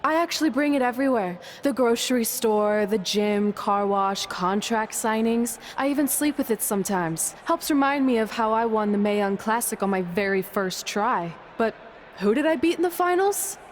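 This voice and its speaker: A woman's voice: a little high, lively intonation, moderate pace, a little hiss or hum, lightly acted. An actress playing a smug, self-assured young female pro wrestler in a video game cutscene. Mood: smug, taunting.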